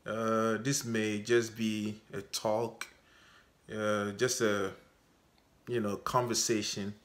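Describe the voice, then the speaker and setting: A Ghanaian man speaks slowly and deliberately, in a fairly low voice. He talks straight to his viewers and keeps checking in with them as he goes. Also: level tone. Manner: measured, repeats "okay?" to check in with viewers. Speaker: vlogger. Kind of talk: unscripted talk to camera.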